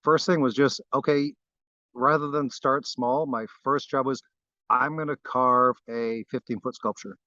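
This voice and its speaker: A man from Barbados, a guest wood carver, talking in a relaxed interview with frequent fillers and restarts. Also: medium pitch, a little slow, dry recording, lo-fi sound.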